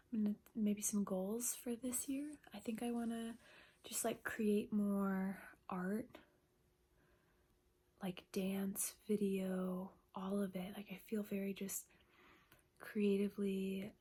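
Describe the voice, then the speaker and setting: A woman in her twenties talking casually and reflectively in a soft, breathy voice that often drops into a whisper, like a personal ASMR-style vlog. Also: medium pitch. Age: 20s. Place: bedroom.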